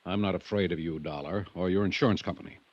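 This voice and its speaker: A man's voice: low-pitched, quick pace, darkish tone, no echo. An actor playing a middle-aged American ranch owner, a curt, irritable, combative father, in a 1950s radio drama. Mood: indignant, defensive. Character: gruff, defensive, hot-tempered ranch owner and stern father. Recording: dull, dry vintage radio recording.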